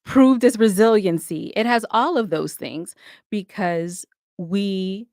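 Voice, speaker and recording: A woman's voice: mid-range voice, takes it slowly, darkish tone, dry recording, studio quality. A woman giving reflective, articulate answers as a guest in a conversational podcast interview. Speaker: podcast guest, audio drama co-creator and writer.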